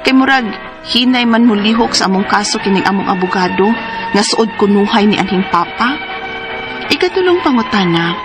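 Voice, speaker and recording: A woman reading a listener's letter aloud on a radio advice program, in a steady, measured way. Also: mid-range voice. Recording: radio studio read over background music. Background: background music.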